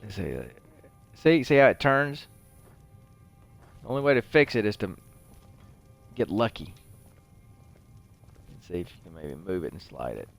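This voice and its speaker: Deep-voiced man rambling through gameplay commentary. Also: very animated, a little slow, vocal fry, pauses often, soft background music. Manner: rambling, conversational, thinking aloud. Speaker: host of a video game playthrough show.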